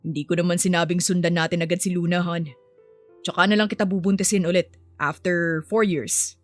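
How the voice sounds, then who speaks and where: A woman's voice: on the low side, flat delivery, fairly quick, dry recording, faint music behind, voice pushed down, close to an everyday voice. A woman voicing an easygoing, affectionate young husband and father in an audio story, with a coaxing manner. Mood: teasing, soothing.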